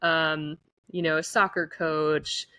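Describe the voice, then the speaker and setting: A woman's voice: low-pitched, flat delivery, slow, bright, forceful tone, clear, resonant tone, no room sound, studio quality. A woman guest on a podcast telling a personal family story in casual conversation, often saying "like" and "you know.".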